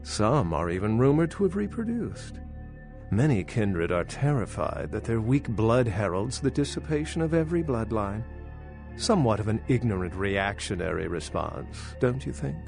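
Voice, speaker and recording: Ancient male vampire academic for a game: lowish voice, very animated phrasing, pedantic, wry, music underneath. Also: normal speed, creaky. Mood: lecturing, skeptical. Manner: articulate, lecturing, wry, pedantic. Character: centuries-old male vampire scholar, erudite, skeptical, dryly condescending. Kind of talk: video game dialogue acting.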